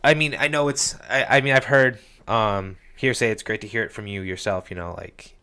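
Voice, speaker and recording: A man's voice: lowish voice, moderate pace, pushed and bright, smooth. A man hosting a casual interview, loose and enthusiastic with many fillers. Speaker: podcast host interviewer.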